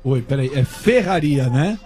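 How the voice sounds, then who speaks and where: A man's voice: mid-range voice, lively intonation, measured pace, over music. A man cohosting a sports radio show, needling and joking with the caller in studio banter. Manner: needling, quibbles and jokes at the caller.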